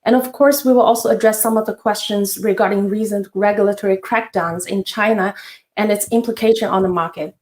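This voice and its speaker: A woman's voice: medium pitch, steady tone, a little fast, darkish tone. Polite woman hosting a webinar, roomy sound. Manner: polite, partly scripted introduction with some hesitations. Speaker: webinar host. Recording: roomy echo.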